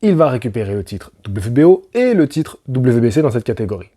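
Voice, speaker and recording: A man's voice: mid-range voice, sing-song, fairly quick, dark tone. A man hosting a casual boxing analysis, talking straight to the viewer with slangy asides and rhetorical questions. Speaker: boxing channel host.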